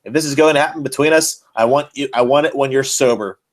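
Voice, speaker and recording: A man's voice: mid-range voice, quick pace, voice pushed up, close to an everyday voice. Man acting an exasperated, stern male wrestler. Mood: firm, reluctant. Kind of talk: dramatic reading of fan fiction.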